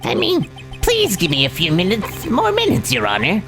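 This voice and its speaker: A man voicing a nervous, desperate courtroom prosecutor from a video game, stammering through high-strung protests. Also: wildly swooping pitch, moderate pace, full character voice. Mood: nervous insistence. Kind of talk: dramatic reading of a video game. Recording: game music behind the voice.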